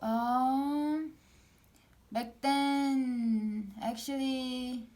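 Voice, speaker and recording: A woman's voice: high voice, fairly even intonation, warm tone. Young korean woman, korean accent, hesitant second-language english, lots of uh and um. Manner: hesitant, halting, frequent fillers and restarts. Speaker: interviewee. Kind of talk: casual interview answers.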